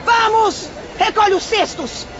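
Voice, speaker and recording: A woman's voice: very high-pitched, takes it slowly. An actress playing an abusive, greedy, hot-tempered fishwife mother who scolds and insults in an audio drama. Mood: raging. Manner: scolding, shouting, harsh, insulting. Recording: dull lo-fi audio, busy background crowd.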